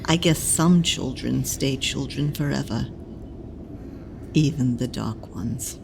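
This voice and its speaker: A woman playing an elderly matron healer who is blunt, motherly and teasing, and who talks in a slow, dry, scolding way. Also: very deep voice, vocal fry, noisy background, full character voice. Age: older. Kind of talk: audio drama acting.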